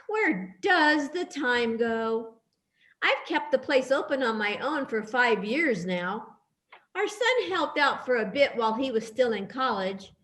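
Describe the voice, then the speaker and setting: A woman plays a weary widowed bartender in an old-time radio play. Her voice is high and very animated in pitch, and she talks slowly with a wry manner. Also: heavily acted. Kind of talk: amateur readers' theatre radio play.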